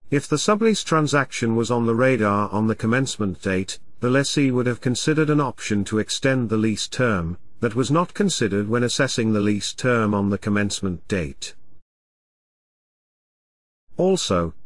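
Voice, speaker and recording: A man narrates an accounting lesson in a measured, steady way, as if reading a careful script. His voice sits at an ordinary middle pitch, and the recording is close and dry with no room sound. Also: dry recording. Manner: measured, steady explanatory delivery. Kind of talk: scripted educational voice-over. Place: voice-over over whiteboard animation.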